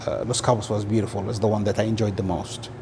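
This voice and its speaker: A man's voice: fairly low pitch, flat delivery, fast talker. Guy from saudi, arabic is his first language, chatting as an interview guest, bit hesitant, some noise behind him. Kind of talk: casual interview answers.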